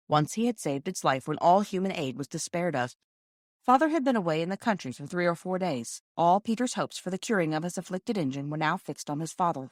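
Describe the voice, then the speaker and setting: A woman's voice: on the low side, dry recording, clean studio sound. A woman reading a children's novel aloud as scripted audiobook narration. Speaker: audiobook reader.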